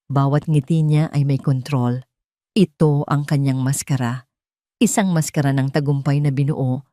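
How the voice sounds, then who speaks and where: Low, mellow woman narrating a dramatic story. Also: no room sound, clean studio sound.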